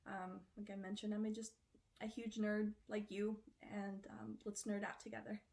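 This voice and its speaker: A woman's voice: medium pitch, flat delivery, moderate pace, gravelly, a little mellow, a little hiss or hum. A woman cosplayer chatting casually in an interview, loose and full of fillers.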